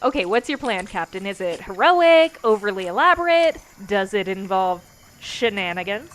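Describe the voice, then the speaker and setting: A woman's voice: mid-range voice, very animated, normal speed, noisy background, close to an everyday voice. An actress playing a breezy, reckless female starship pilot, playful and flippant, in a comedy radio drama. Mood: teasing. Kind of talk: sci-fi comedy radio acting.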